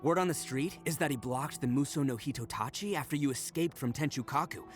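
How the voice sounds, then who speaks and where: An actor playing a brash, goofy young horned oni gang leader in a video game, boisterous, boastful and slangy, with theatrical swings. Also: male voice, mid-range voice, fairly quick, faint music behind, lightly acted. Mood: impressed, excited. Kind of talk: video game character voice acting.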